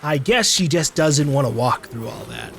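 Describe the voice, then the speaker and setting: A man's voice: mid-range voice, expressive, normal speed, gravelly, a bit bright, a little hiss or hum. A man giving casual let's play commentary in a playful way, calling out to the game's characters. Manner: playful, calls out to game characters. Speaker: gaming YouTuber, let's play host.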